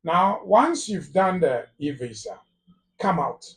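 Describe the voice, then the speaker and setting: A man's voice: fairly high pitch, lively intonation, slow pace, distant mic. A man with a Ghanaian English accent giving immigration advice on a live phone-in talk show, emphatic and repeating words for stress. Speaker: immigration lawyer guest.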